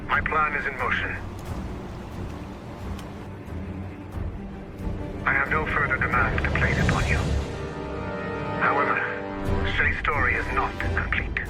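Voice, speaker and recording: An actor playing a cold Templar mastermind in a video game, formal and deliberate with quiet menace. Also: male voice, medium pitch, over music, close to an everyday voice. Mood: formal, controlled. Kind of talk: dramatic video game acting.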